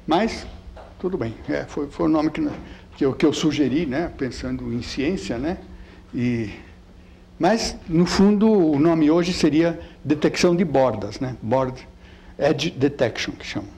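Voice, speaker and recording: An older man born in Italy, with a light Italian accent, giving a physics lecture with slides in a chatty, halting way full of fillers. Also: a little high, expressive, creaky, darkish tone. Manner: halting, many fillers and repeated words; chatty, keeps asking "né?".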